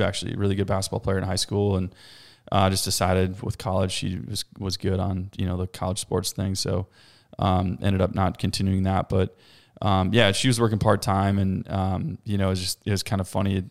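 A loud man in his thirties, talking easily as a podcast guest. His low voice has a gravelly edge but stays smooth and full. He rambles warmly through his stories, full of fillers. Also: level tone, brisk, few pauses. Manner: loud, laughs often, rambling with many fillers. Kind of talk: casual chat.

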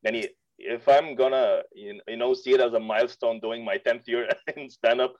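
A man's voice: medium pitch, steady tone, moderate pace, a little mellow. A man in his late twenties, a stand-up comedian, talking in a podcast interview, often hesitating and laughing as he speaks. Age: 20s. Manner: hesitant, many fillers and restarts, laughs a lot. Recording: dull lo-fi sound.

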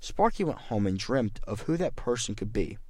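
A man's voice: lowish voice, big pitch swings, normal speed, dark tone, breathy edge, no echo. A man narrating a scripted mystery story as its reader.

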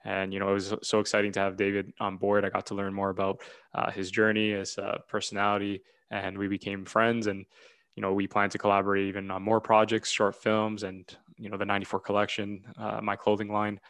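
A man's voice: on the low side, monotone, fairly quick, fairly bright tone, no room sound. A man hosting a casual conversational interview, talking in a chummy way with many "you know" asides. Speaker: host and film director.

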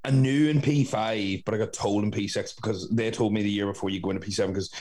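Podcast host, male, Northern Irish accent, lowish pitch, informal and sweary storyteller. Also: steady tone, quick pace, a little mellow. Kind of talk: casual chat, telling childhood anecdotes.

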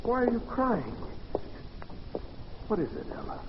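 A man's voice: very high-pitched, big pitch swings, unhurried, slight background noise, exaggerated voice. An actor playing an earnest, lovestruck man who hides in a department store, in intense, theatrical old-time radio style. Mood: gentle concern. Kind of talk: 1940s radio acting, narrated journal and dialogue. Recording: muffled old-time radio recording, music behind.

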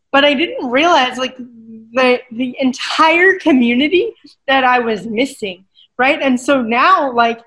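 High-voiced, emphatic woman fitness coach. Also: poor audio. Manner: animated, emphatic, keeps asking "right?". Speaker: guest, adaptive fitness coach. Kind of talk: casual interview conversation.

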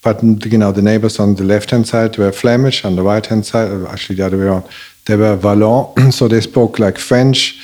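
A man who grew up in Belgium, a native German speaker, talking casually and telling stories in a halting way, with many restarts. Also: lowish voice. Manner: halting, restarts often, animated storytelling. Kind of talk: casual conversation.

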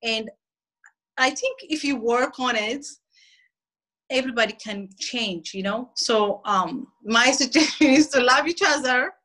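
A woman's voice: fairly high pitch, a little slow, pushed and bright, low-quality recording. A woman who is a non-native English speaker, chatting casually and earnestly as an agreeable guest on an online call. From immigrant from outside the United States. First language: not English. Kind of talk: casual chat.

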